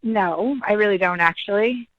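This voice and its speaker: Female phone call participant, mid pitch, muffled line, casual chatty delivery. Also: normal speed. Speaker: person called on phone.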